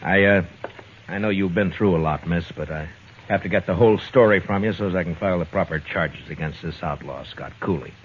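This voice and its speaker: A man's voice: deep voice, fairly quick, close to an everyday voice. An actor playing a stern, laconic frontier lawman in a 1950s radio western, speaking in dry, terse, plain words. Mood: measured, formal. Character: stern, laconic male frontier lawman, tough but fair. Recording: muffled, noisy vintage radio recording.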